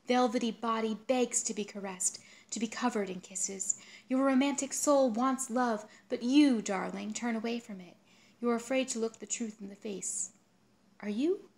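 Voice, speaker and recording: A woman's voice: mid-range voice, lightly acted. A woman voicing a self-important male suitor in a story, insistent, grandiose and wheedling. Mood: passionate.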